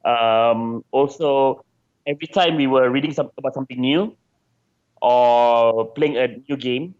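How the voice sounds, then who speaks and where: A man's voice: mid-range voice, a little slow, a little mellow, clear, resonant tone. Dull-sounding male game designer in interview. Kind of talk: casual interview talk.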